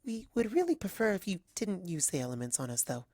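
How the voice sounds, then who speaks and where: A performer voicing a gentle, timid young female pony who speaks softly, hesitantly and apologetically in a story reading. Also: medium pitch, very animated, fairly quick, dry recording, pitched above the performer's own voice. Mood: timid, apologetic.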